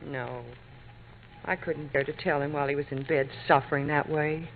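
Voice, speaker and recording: A woman's voice: deep voice, steady tone, moderate pace. An American actress playing a patient, loyal middle-aged wife and mother in an old radio comedy. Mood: tender, worried. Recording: tinny, noisy vintage radio recording.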